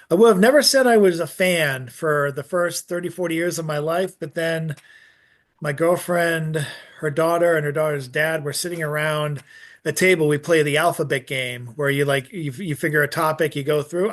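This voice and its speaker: A man's voice: a little high, fairly bright tone. A man hosting an online open mic in a casual, chatty style. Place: online video gathering.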